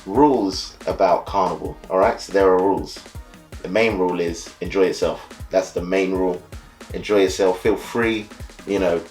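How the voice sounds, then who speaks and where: A man born and raised in the UK who leads a carnival band, explaining carnival in a relaxed, easygoing way, often saying "you know" and "so yeah". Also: low-pitched, normal speed, faint music behind. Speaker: carnival band leader and soca DJ. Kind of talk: casual explainer talk to camera.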